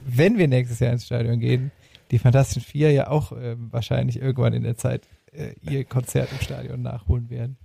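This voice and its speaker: A man's voice: mid-range voice, flat delivery, fairly quick, gravelly, mellow, husky, no echo. A man hosting a podcast in casual chat, often repeating words and phrases as he gathers his thought. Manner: stammering repetition of words and phrases. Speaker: podcast host. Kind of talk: casual chat about music.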